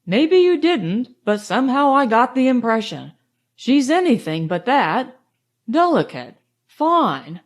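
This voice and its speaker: A genteel older gentleman from an audiobook, voiced by a woman narrator. He is polite but opinionated and speaks with emphasis. The voice sits fairly high. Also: big pitch swings, slow, full character voice. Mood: admiring, insistent. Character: polite, opinionated older gray-haired gentleman, admiring and oblivious. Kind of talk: audiobook character dialogue.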